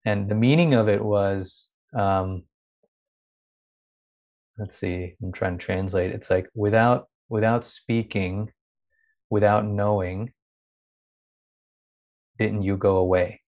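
A man who grew up in India talks in a reflective, searching way. His voice sits a little low, and he often restarts phrases as he gathers his thoughts. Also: fairly even intonation, slow pace, pauses often, darkish tone. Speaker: guest. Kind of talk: reflective conversational interview.

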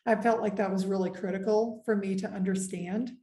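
Middle-aged woman, earnest interview guest, warm mellow tone, roomy echo. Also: medium pitch, fairly even intonation. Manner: earnest, measured, with frequent pauses and "um". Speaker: guest, executive. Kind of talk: reflective interview conversation. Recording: echoey room.

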